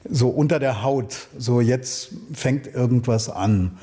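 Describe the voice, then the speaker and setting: Mellow-voiced male interviewer. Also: medium pitch, slow pace. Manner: thoughtful, probing questions. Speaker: interviewer, former correspondent. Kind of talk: conversational interview.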